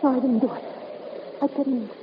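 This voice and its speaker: A woman's voice: high-pitched, moderate pace, natural voice. An actress playing an anxious, defensive young lady in a 1950s radio drama, earnest and distressed. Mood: distressed denial. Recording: noisy, muffled 1950s radio recording.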